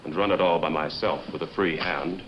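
An actor playing a cold, scheming male blackmailer in a 1950s film drama, with a low, coolly threatening delivery. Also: fairly even intonation, brisk, highs cut off, close to an everyday voice. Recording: old film soundtrack with light hiss.

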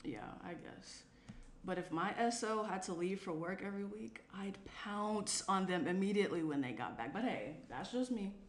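A woman's voice: mid-range voice, moderate pace, lightly acted. A young woman playing a frank, playful and caring best friend in a naturalistic screenplay table read. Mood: candid. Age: young adult. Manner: animated, candid, chatty. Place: small indoor room around a table. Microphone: shared tabletop microphone. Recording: roomy tabletop pickup, faint noise.

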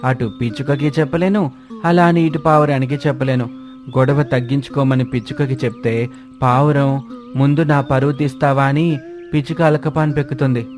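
A troubled cartoon crow, acted by a man, caught between two admirers and reluctant to face them. He explains himself in a weary, plaintive way at a medium male pitch, with music underneath. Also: fairly even intonation, moderate pace, a little mellow, close to an everyday voice. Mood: troubled, resigned. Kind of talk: children's cartoon story dialogue.

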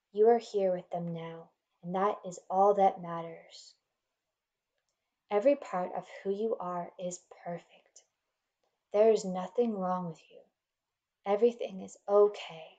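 A woman leading a guided meditation in a gentle, soothing, measured manner, leaving pauses between instructions. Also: on the low side, lo-fi sound.

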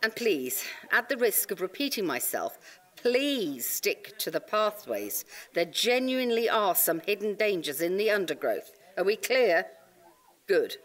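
An officious park ranger in an audio comedy. The ranger is prim, nosy and chirpily formal, with a very animated, swooping intonation. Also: female voice, mid-range voice, a little slow. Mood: brisk, cautioning. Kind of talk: audio comedy drama acting.

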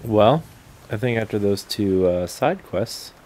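Slow-paced, low-voiced male gamer. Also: lively intonation, a little mellow. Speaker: let's play host. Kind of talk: casual gameplay commentary. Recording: game ambience noise behind voice. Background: video game outdoor ambience.

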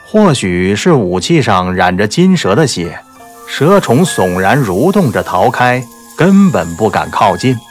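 A man's voice: on the low side, sing-song, measured pace, faint music behind. A man narrating a martial arts novel as a dramatic audiobook.

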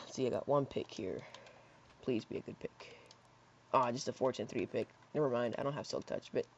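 A hoarse young man in his teens rambling casually while playing a building game, with lots of fillers and soft mutters. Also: medium pitch, slight background noise. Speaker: gaming YouTuber. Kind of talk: casual gameplay commentary. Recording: slightly dull lo-fi mic.

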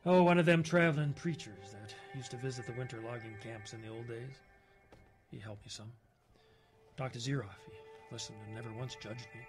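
A haunted older man played by a male actor tells his tale in an audio drama with a middling pitch. He is plain-spoken, folksy and wry, speaking in clipped phrases. Music plays clearly behind him. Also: brisk, natural voice. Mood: subdued.

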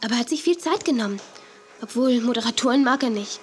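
A woman's voice: a little high, expressive, fast talker, a little hiss or hum, lightly acted. A woman playing a polite, sensible young elder sister, modest and diplomatic, in a children's audio drama. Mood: relieved, chatty. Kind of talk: 1980s German children's radio play acting.

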